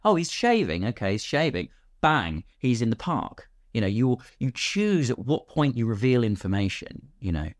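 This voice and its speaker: A man's voice: medium pitch, sing-song, moderate pace, hardly any pauses, no room sound, studio quality. A British man talking casually as a podcast guest, explaining his craft and acting out imagined questions as he goes. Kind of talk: casual conversational explanation of filmmaking.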